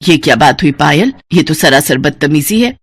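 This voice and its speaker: A woman voicing a patient middle-aged mother in a cartoon moral story, gently lecturing and mildly reproving. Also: low-pitched, lively intonation, moderate pace, breathy edge, no room sound, pitched below the performer's own voice, full character voice.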